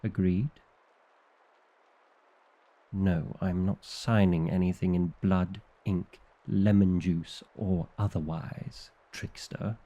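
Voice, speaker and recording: Guy playing a fussy vampire librarian in an asmr rp, lowish voice, really slow talker, huffy and dry. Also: steady tone, dark tone, poor audio. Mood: weary, grudging. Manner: indignant, officious, dry comic delivery. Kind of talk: ASMR roleplay acting.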